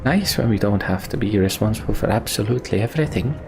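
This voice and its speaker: Male let's play host, creaky voice, storytelling narration, faint music behind. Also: fairly low pitch, lively intonation, quick pace. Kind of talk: scripted narration and commentary of a game.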